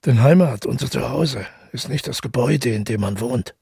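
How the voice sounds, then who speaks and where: An actor playing a withdrawn, middle-aged widower who tells his story in a radio drama, husky and gravelly, reflective and measured. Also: medium pitch, warm tone, no echo, clean studio sound. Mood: earnest. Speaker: narrator and protagonist. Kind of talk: literary radio drama monologue.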